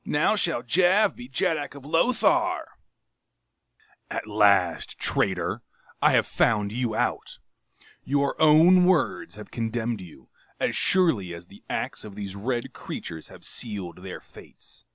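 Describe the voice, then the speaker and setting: A man's voice: mid-range voice, very animated, takes it slowly, fairly bright tone, no room sound, clean studio sound, voice pushed up. A man voicing a raging, mocking male tyrant king who screams and sneers. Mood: furious.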